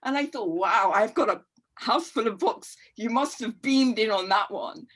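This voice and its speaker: A middle-aged woman guest on a podcast, talking in a warm, rambling and reflective way with frequent fillers and easy laughter. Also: fairly high pitch, animated, normal speed. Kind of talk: casual conversational chat.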